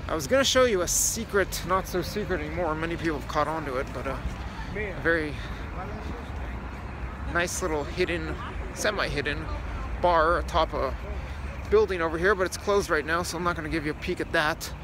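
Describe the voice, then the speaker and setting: A man's voice: on the high side, brisk, noisy background. A young man chatting to his phone camera held at arm's length as he walks through a city square at dusk, giving a casual tour. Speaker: vlogger. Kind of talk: casual walk-and-talk vlog.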